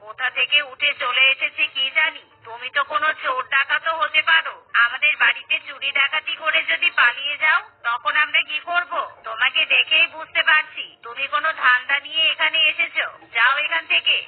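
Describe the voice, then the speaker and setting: A woman's voice: high-pitched, fairly quick, few pauses, voice pushed down, close to an everyday voice. A rude, suspicious village woman in a cartoon, as the narrator puts her on, speaking in a harsh, dismissive way. The sound is thin and phone-like. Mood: hostile.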